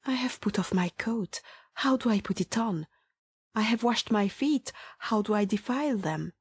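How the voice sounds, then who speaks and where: A woman playing a loving, yearning bride in a hushed, solemn dramatized reading of scripture poetry. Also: mid-range voice, expressive, moderate pace, breathy edge, dry recording. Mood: hushed longing.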